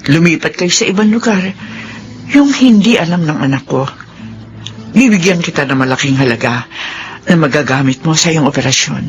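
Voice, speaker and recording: A wealthy, middle-aged Filipino mother in radio melodrama. She is controlling and persuasive, with a lively, swooping pitch and deliberate, imploring phrasing. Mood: cold, coaxing.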